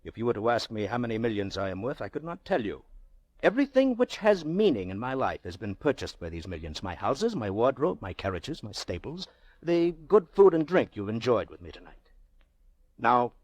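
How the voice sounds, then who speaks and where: A man playing a haughty, very wealthy middle-aged gentleman in an old-time radio drama, speaking in pompous rhetorical flourishes with drawn-out emphasis. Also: mid-range voice, big pitch swings, no echo. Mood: proud, challenging. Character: haughty, very wealthy Russian gentleman, goading and theatrical.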